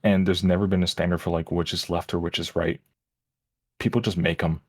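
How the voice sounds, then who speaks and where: Woman guest with a dry, self-deprecating wit, very low voice, dry sound. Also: little pitch movement, husky, dry recording. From family from the Netherlands. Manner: dry, self-deprecating joker, halting phrases. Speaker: guest on a stream. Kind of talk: casual live-stream chat.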